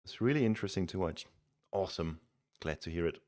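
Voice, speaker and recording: A Danish-accented man with a deep voice talks loosely through a live stream, explaining strategy as he plays. He is relaxed and chatty and often restarts his words. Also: fast talker. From Denmark. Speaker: streamer and game coach. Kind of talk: casual live-stream chat and game commentary.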